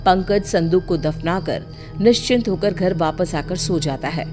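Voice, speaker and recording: A woman narrates a scripted tale as storyteller. Her voice is on the low side for a woman. Music plays clearly behind her. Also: a little slow.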